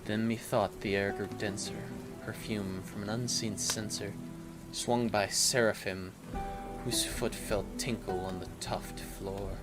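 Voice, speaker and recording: Slow, low-voiced man reading Gothic poetry. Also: steady tone, over music, rough recording. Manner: measured, theatrical, with long pauses. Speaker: poetry reader. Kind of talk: dramatic reading of a Gothic poem.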